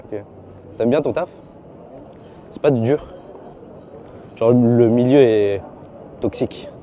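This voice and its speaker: A man's voice: medium pitch, slow, muffled recording, a little hiss or hum. A man chatting up a stranger in a metro passageway, flirty and teasing, with casual slang. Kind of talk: casual street chat.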